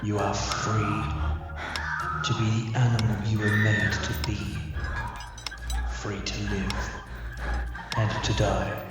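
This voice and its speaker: Menacing slow killer played by a man, cavernous reverb. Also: on the low side, monotone. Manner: slow poetic menacing monologue. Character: menacing cybernetic male serial killer, grandiose, philosophical. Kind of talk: sci-fi audio drama monologue. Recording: cavernous reverb, loud music underneath. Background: loud music.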